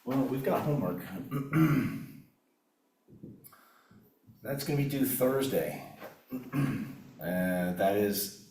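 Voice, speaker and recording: A middle-aged man giving a physics lecture at a whiteboard, his voice husky and croaky, often clearing his throat, talking unhurriedly with pauses. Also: medium pitch, a little mellow, a little hiss or hum. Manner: unhurried, pausing with fillers. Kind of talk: classroom lecture. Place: classroom at a whiteboard. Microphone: room camera at a distance. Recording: echoey classroom from far off.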